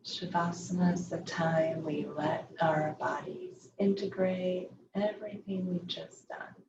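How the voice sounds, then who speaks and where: Slow, gravelly-voiced yoga teacher, losing voice. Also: female voice, on the low side, steady tone, background noise. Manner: slow, soft, soothing guidance. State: losing her voice. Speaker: yoga instructor. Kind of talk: guided restorative yoga class. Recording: slightly dull lo-fi audio.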